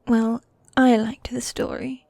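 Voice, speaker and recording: A woman voicing a teasing, tender demon girlfriend in a medieval fantasy ASMR roleplay, speaking in soft breathy whispers with formal, old-fashioned phrasing. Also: on the high side, little pitch movement, slow pace, no echo, exaggerated voice. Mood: tender, musing. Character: gothic demon girlfriend, teasing, tender, dominant yet needy.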